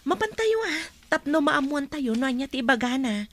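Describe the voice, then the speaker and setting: A woman's voice: high voice, big pitch swings, normal speed, fairly bright tone. An Ilocano-accented woman playing a polite, deferential office employee in a radio drama. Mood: matter-of-fact. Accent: Filipino (Ilocano).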